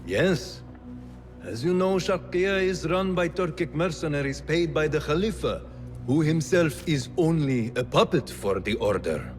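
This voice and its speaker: This is a cautious mentor who weighs every word. He gives grave, measured counsel, his pitch moving widely, while clear music plays underneath. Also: animated. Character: wise older male mentor, cautious. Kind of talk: video game cutscene acting. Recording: music playing behind.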